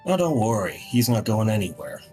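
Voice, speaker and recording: A man playing a gruff, unbothered bounty hunter in a fantasy game, curt and low-key. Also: big pitch swings, a little fast, a little mellow, soft background music.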